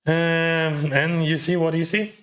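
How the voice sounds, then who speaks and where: A man's voice: a little high, flat delivery, slow, a bit bright, clear, resonant tone. A man streaming poker, chatting casually and thinking his plays through aloud in lightly accented English. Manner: mildly accented non-native English. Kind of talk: casual live-stream commentary.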